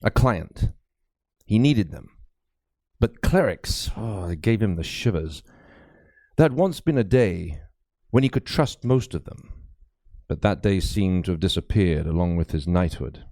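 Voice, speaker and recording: A man's voice: deep voice, lively intonation, measured pace, long pauses, a little mellow, no room sound, clean studio sound. A man reading a mystery novel aloud as a dramatic, scripted narration. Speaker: audiobook narrator, actor.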